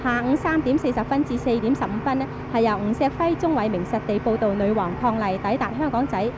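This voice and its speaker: A woman with a Hong Kong accent reading a formal, measured radio programme announcement. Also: a little high. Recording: noisy archival radio broadcast.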